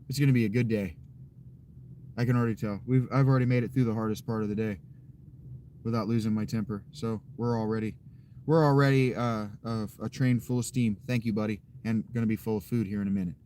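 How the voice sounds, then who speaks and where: A man's voice: lowish voice, fairly quick, long pauses, a little mellow, slight background noise. A man streaming and chatting with viewers in a joking, rambling way, swearing freely. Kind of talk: casual chat.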